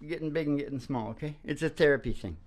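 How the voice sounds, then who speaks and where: A woman's voice: sits low, quick pace. A woman chatting casually and playfully as she hosts a live music show. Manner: jokey, playful. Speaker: singer and show host. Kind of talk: casual joking chat between live songs.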